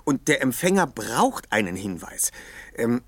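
A man's voice: medium pitch, sing-song, measured pace, a bit bright, no room sound. An actor playing a clever, methodical young male detective in a German audio drama, explaining things precisely. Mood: analytical, deliberate.

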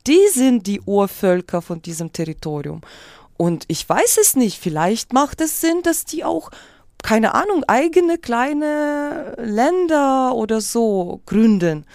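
A woman's voice: on the high side, animated, slow pace, a little mellow, no room sound, studio quality. A woman from Ukraine, a native speaker of Russian and Ukrainian, talking casually and reflectively as an interview guest, often searching for words and breaking off mid-thought. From Kyiv, Ukraine.

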